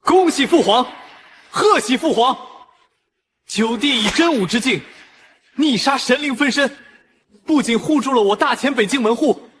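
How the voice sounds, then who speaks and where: A man playing a scheming, flattering prince in an animated palace drama, formal and theatrical. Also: extremely high pitch, a little slow, pauses often, husky, full character voice. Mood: effusive praise. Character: scheming adult prince, flattering his emperor father. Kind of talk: Chinese animated web drama voice acting.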